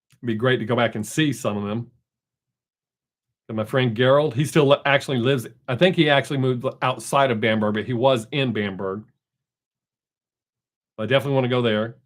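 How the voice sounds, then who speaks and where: A man's voice: mid-range voice, moderate pace, long pauses. Chatty middle-aged man. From American South. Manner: chatty, rambling, reads chat aloud. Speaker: live stream host. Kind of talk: casual chat with viewers. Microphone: desk microphone.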